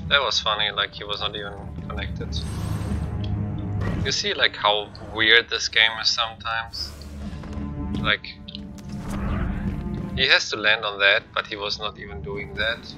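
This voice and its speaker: A man's voice: fairly low pitch, steady tone, measured pace, long pauses. A man giving casual video game commentary as he plays, with non-native English phrasing. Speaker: video game streamer doing a showcase playthrough. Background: game music and game sounds.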